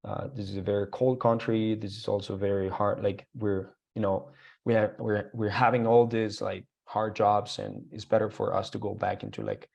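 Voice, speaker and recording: A man's voice: on the low side, little pitch movement, normal speed, creaky, darkish tone. A young man with a Colombian Spanish accent, a guest telling his own story in a casual interview, rambling with fillers and restarts.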